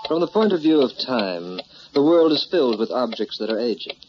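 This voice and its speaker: Actor as solemn muffled radio narrator. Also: male voice, medium pitch, big pitch swings, over music, close to an everyday voice. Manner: measured, portentous. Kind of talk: 1940s radio scripted narration. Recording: muffled, lightly noisy old radio recording.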